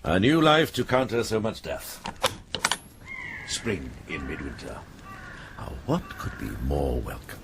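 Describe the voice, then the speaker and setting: A man's voice: deep voice, wildly swooping pitch, measured pace, long pauses, heavy background noise, heavily acted. A man playing a middle-aged, worldly-wise, kindly and shrewd monk herbalist in a radio drama. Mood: warm, wry.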